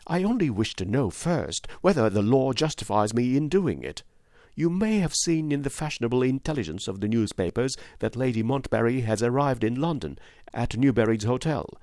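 A man's voice: mid-range voice, expressive, normal speed, darkish tone, no echo, studio quality, pitched above the performer's own voice, natural voice. A man reading an audiobook, voicing a nervous yet determined widow in an earnest, dignified manner. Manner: earnest, dignified, rising to indignation. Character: timid but determined widow, adult woman. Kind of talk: audiobook reading of a classic novel.

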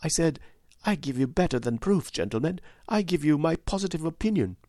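This is a nervous, dignified widow in a storybook reading, put on by a male narrator. She speaks high and earnestly, with a lively, rising melody, and she is recorded close and dry. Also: a little fast, dry recording, lightly acted. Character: timid but determined widow, adult woman. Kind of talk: audiobook reading of a classic novel.

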